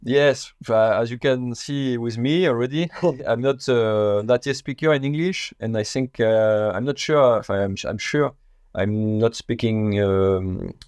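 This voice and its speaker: A man with a French accent, a native French speaker, talking as a podcast guest in a casual interview, halting and searching for his words in English. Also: mid-range voice, darkish tone, clear, resonant tone, no echo. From France.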